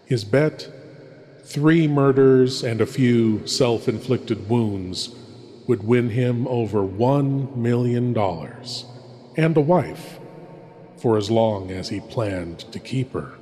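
A man hosting a horror show with ominous, theatrical, deliberate delivery in a big reverberant space. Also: mid-range voice, animated, mellow. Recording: long reverberant hall, music behind. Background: faint music.

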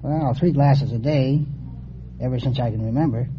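A man's voice: mid-range voice, moderate pace. A man from Europe with a European accent, giving brief, simple answers as a contestant on a vintage radio quiz. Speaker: quiz show contestant, winery worker. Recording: muffled, noisy vintage radio with audience.

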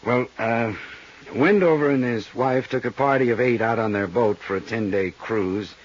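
A man playing a big, easygoing American accountant in his late forties in a 1950s radio drama, husky and chatty, with a folksy, rambling manner. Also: medium pitch, moderate pace, lightly acted. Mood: chatty, explaining. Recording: dull, muffled 1950s radio recording.

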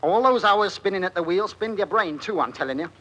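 Country brother, grumbly n jumpy, bright voice all over the place, old radio play. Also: male voice, sits high, animated, brisk, husky, full character voice. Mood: grumbling. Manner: theatrical dialect, exclamatory. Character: grumbling, reluctant, easily frightened rustic brother. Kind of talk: classic radio drama acting. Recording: faintly noisy old radio recording.

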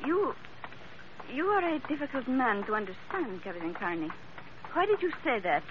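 Femme fatale, theatrical, old radio. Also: female voice, fairly high pitch, big pitch swings. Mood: wary, indignant. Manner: formal, halting English, theatrical. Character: seductive, volatile Iberian femme fatale, murderess. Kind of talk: 1940s radio melodrama acting. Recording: muffled, hissy old radio recording.

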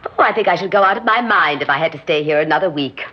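An actress playing a grandiose, scheming exiled society hostess in an old radio drama, theatrical and imperious. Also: female voice, low-pitched, animated, fast talker, breathy edge. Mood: brittle, restless. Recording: muffled old radio recording.

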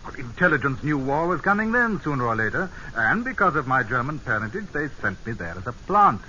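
An actor playing an urbane, persuasive British secret agent in an old-time radio drama. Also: male voice, mid-range voice, very animated, brisk, bright, forceful tone. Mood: earnest, persuasive. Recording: muffled old radio broadcast, faint hiss.

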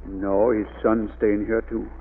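An actor playing a loyal, reluctant caretaker with a rustic, hesitant way of talking, in a 1940s radio drama. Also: male voice, lowish voice, little pitch movement, unhurried, slightly rough. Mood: wary, plain. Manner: rustic, plain-spoken, hesitant drawl. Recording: tinny old radio recording.

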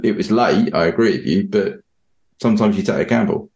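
A man's voice: lowish voice, fairly quick, a little mellow. A man casually discussing football as an analyst on a podcast. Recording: roomy, echoing sound.

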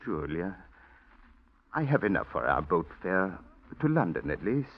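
A man's voice: deep voice, big pitch swings, a little slow, heavily acted. A man playing a timid, devoted singing teacher and secret lover in a 1940s radio drama, speaking tenderly and often stammering. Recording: dull old radio broadcast recording.

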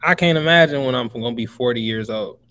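A man's voice: medium pitch, quick pace, a bit bright. A man in his twenties from Chicago, a guest on a podcast, talking emphatically and giving motivational advice. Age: 20s. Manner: emphatic, motivational, calls the listener bro. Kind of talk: casual podcast interview.

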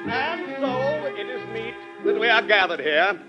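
Stuffy blowhard banquet speaker, really high voice, keeps going eh, dull old radio sound. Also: male voice, big pitch swings, measured pace, over loud music, exaggerated voice. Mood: pompous, rousing. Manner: oratorical, peppered with "eh?". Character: pompous, blustering, bigoted male banquet chairman. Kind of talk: old-time radio drama acting. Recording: muffled vintage radio recording.

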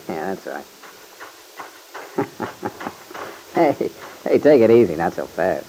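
A man's voice: sits low, sing-song, slow pace, mellow, heavily acted. An actor playing an easygoing, modest young country man with an American accent, folksy and a little bashful, in old-time radio drama. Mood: amiable. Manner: folksy, slightly bashful, hesitant. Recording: noisy vintage radio recording.

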